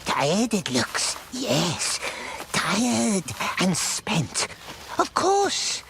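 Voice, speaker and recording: A man playing a small, wretched, obsessive game creature with a gravelly voice, muttering erratically to himself in broken grammar. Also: high voice, wildly swooping pitch, extremely slow, noisy background, exaggerated voice. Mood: wistful, coaxing. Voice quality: creaky, gravelly. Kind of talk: video game character acting.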